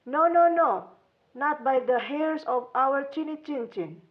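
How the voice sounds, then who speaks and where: A woman's voice: high-pitched, lively intonation, unhurried, narrow, tinny audio. A woman voicing small, defiant little pigs in a storybook tale with a sing-song refusal.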